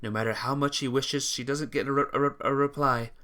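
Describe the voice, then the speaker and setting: A man's voice: mid-range voice, fairly even intonation, a little fast, pushed and bright. A man reading a scripted story aloud as narrator, with the occasional small stumble. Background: instrumental music.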